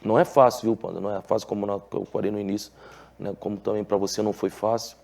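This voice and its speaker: Deep gravelly Carioca man. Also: flat delivery, fast talker, a little mellow. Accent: Carioca Portuguese. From Rio de Janeiro, Brazil. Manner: earnest storytelling, many filler tags and restarts. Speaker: former footballer, guest. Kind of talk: relaxed TV interview.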